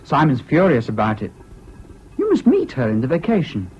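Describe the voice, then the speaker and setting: An actor playing a whimsical, impulsive young English student in a radio drama, talking in a chatty, flippant way. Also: male voice, mid-range voice, wildly swooping pitch, moderate pace, a little mellow. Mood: eager, whimsical. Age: young adult. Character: whimsical, impulsive young male Cambridge student, evasive charmer. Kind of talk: 1970s British radio drama acting. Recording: dull, hissy old radio recording.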